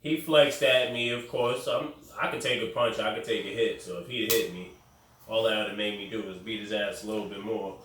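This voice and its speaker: A man's voice: lowish voice, a little fast, a bit bright. A young man hosting a podcast, telling a story in a blunt, casual way with swearing and restarts. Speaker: podcast host. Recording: very echoey room, distant mic, faint noise.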